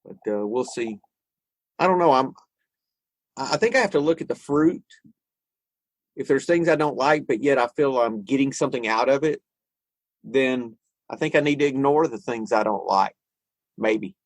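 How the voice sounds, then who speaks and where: A man's voice: medium pitch, normal speed, long pauses, dry recording. A man, thinking aloud in a casual podcast conversation about recovery and spirituality. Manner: reflective, thinks aloud with frequent restarts. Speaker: podcast co-host.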